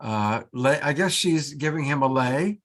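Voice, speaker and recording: A man's voice: mid-range voice, lively intonation, a little slow, a bit bright. Enthusiastic male online show host. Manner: enthusiastic, joking. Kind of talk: casual live online show chat. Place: video call.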